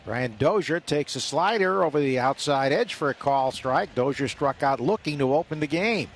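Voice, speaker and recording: Older male baseball announcer, slightly high voice. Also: expressive, moderate pace. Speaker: radio baseball play-by-play announcer. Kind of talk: live sports commentary. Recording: faint stadium ambience noise behind. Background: ballpark ambience.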